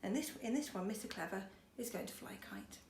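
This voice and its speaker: A woman's voice: mid-range voice, fast talker. Woman teacher reading aloud, echoey room. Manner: expressive, encouraging, speaking to children. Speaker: schoolteacher reading storytime. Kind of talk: children's storybook read-aloud with chatty asides. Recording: roomy echo, faint background noise.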